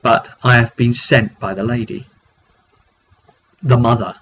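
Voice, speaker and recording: A man's voice: mid-range voice, fairly even intonation, a little slow, a bit bright. Man voicing a wounded giant spider, muffled recording. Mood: grave, weary. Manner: grave, pleading. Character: wounded giant spider, pleading messenger of its tainted mother. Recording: dull lo-fi recording, clear background noise.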